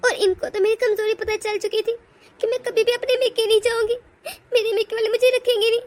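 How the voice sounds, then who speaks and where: A woman's voice: very high voice, fairly even intonation, brisk. A woman voicing a meek, long-suffering mother-in-law in a cartoon family drama, crying as she speaks. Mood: tearful. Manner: sobbing, resigned.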